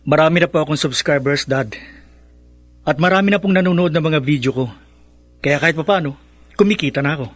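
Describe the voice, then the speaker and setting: A man's voice: on the high side, brisk, long pauses, no room sound, soft background music, lightly acted. A man acting in a Filipino radio drama as a vain, laid-back young adult. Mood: reluctant, defensive.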